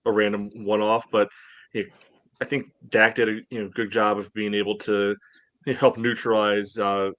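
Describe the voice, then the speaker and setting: A man on a podcast panel giving casual sports analysis in a measured, hedging way, peppered with "you know". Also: fairly low pitch, little pitch movement, fairly bright tone, dry recording.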